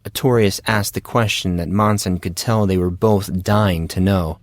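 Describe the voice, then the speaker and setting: A man's voice: sits low, steady tone, moderate pace, dry recording. A man narrating a scripted story as the narrator of an audio drama.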